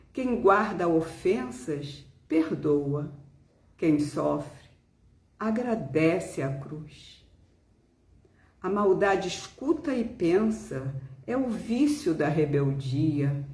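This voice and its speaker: Very slow, solemn Brazilian woman. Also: lowish voice, a little mellow, reverberant. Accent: Brazilian Portuguese. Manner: solemn, deliberate phrasing with frequent pauses. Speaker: spiritist speaker. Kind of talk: devotional talk with solemn reading.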